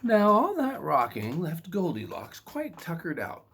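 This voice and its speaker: A man reading a children's story in a playful, expressive way with dramatic emphasis. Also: on the high side, unhurried, creaky, breathy edge.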